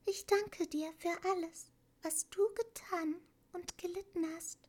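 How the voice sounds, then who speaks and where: A woman voicing a gentle, serene young empress in an audiobook, with a delicate, soft, breathy voice. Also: very high-pitched, fairly even intonation, a little slow, voice pushed up. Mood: tender, grateful. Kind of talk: audiobook character voice.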